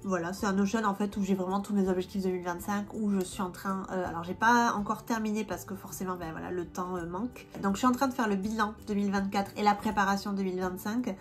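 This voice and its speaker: A woman's voice: mid-range voice, fairly even intonation, fast talker, hardly any pauses, faint music behind. A woman in her thirties with a cold, chatting casually in a vlog, with many fillers and restarts. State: stuffy, has a cold. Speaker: vlogger and independent language teacher.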